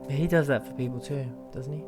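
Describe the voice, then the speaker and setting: A woman with a low voice reacts casually as she plays a game. She is emotionally invested and exclamatory, with game music clearly audible behind her. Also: fast talker. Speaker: gameplay streamer. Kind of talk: casual live reaction commentary.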